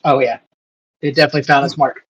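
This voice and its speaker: A tabletop game player chatting casually and announcing moves in brief, unhurried turns. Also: medium pitch, flat delivery, a bit bright, slightly rough, muffled recording.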